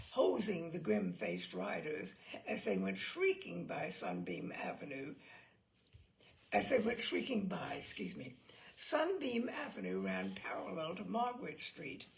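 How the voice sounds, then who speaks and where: A woman reading a literary story aloud in an expressive, theatrical and deliberate way, with a gravelly, creaky voice. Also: a little high.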